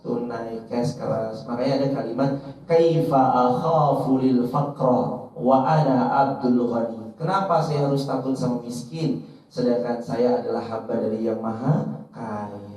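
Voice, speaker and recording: Mellow-voiced male preacher in echoey room. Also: mid-range voice. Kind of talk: sermon to an audience, quoting and translating Arabic scripture. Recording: roomy echo, distant mic, slightly dull.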